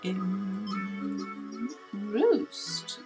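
Woman, casual let's play commentary, self-deprecating, slow pace, clear game music behind. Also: medium pitch. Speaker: let's player.

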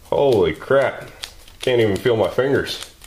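A man's voice: medium pitch, expressive, a little slow, a little mellow, slight background noise. A man hosting a maker channel, chatting and joking casually as he works in his garage workshop. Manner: joking, exclaiming and thinking aloud while working.

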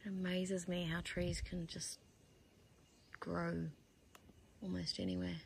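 A woman's voice: lowish voice, monotone, slow, gravelly. A woman giving casual, off-the-cuff commentary as she explores a campsite, musing aloud and trailing off. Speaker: camper filming a walk-around vlog. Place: outdoors at a bush campsite. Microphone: handheld phone or camera, behind the lens. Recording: outdoor handheld recording with background noise.

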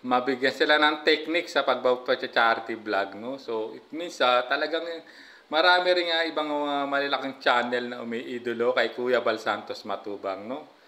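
A man's voice: mid-range voice, normal speed, bright, forceful tone. A middle-aged man commenting over a clip to his viewers in a casual, chatty way, often tagging lines with "no, guys?" into a phone held close. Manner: chatty, frequent filler "no, guys?". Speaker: reaction vlogger.

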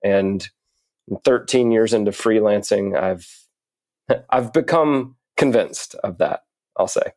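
A man speaking as an interview guest, explaining in a measured, articulate way with deliberate pauses. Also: on the low side, a little mellow, dry recording. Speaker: podcast guest, writer and coach.

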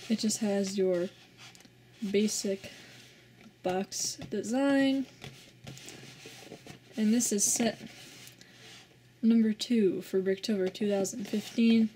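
A woman casually reviewing a toy set as host of her channel, relaxed and plainly enthusiastic, pausing often. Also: a little high, a little hiss or hum. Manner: relaxed, plain enthusiasm with frequent filler pauses.

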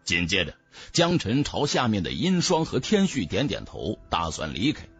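A man narrating an audiobook in a dramatic, theatrical, expressive storyteller's manner. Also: lowish voice, normal speed, faint music behind.

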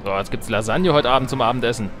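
A man's voice: mid-range voice, quick pace, a bit bright. Man casually commentating over game music. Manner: joking asides, musing to himself. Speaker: let's player. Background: strategy game music and sounds.

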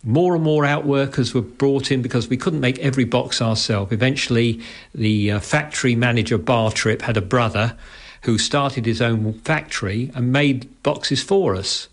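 Man, creaky voice, reminiscing, radio interview, vintage tape recording. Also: mid-range voice, moderate pace. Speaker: interviewee from family firm.

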